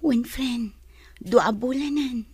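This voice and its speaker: Husky, earnest young woman. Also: a little high, takes it slowly, darkish tone, no room sound, exaggerated voice. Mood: confiding. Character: pregnant young woman, earnest daughter and sweetheart. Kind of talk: radio drama acting.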